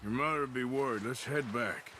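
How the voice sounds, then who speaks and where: An actor playing a weary, wry middle-aged outlaw with a gruff, dry American frontier drawl, in a game cutscene. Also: male voice, medium pitch, moderate pace, bright, forceful tone, slight background noise, natural voice. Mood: gentle. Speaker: outlaw protagonist. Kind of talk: video game cutscene acting. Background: game score music.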